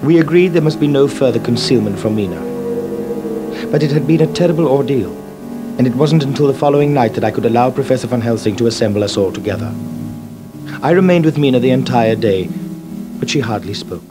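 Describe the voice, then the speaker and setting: An actor narrating as a young Victorian husband, measured and somber, in a radio drama. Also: male voice, mid-range voice, animated, natural voice. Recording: narration over loud music.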